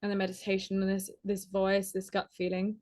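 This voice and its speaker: A woman's voice: medium pitch, completely flat delivery, a little mellow. Woman, podcast guest, chatty and casual, mid-range voice. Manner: chatty, lots of "like", quick laughs. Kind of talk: casual conversational interview.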